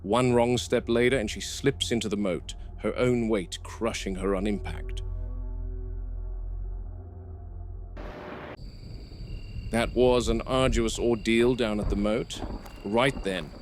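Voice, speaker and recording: An actor playing a wary, weary male game warden at a dinosaur park, narrating a horror audio log in a measured, grave way. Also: on the low side, fairly even intonation, close to an everyday voice. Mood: grim, analytical, then uneasy, dry. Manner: measured, grave, deliberate field commentary. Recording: noisy VHS-style field recording with outdoor ambience.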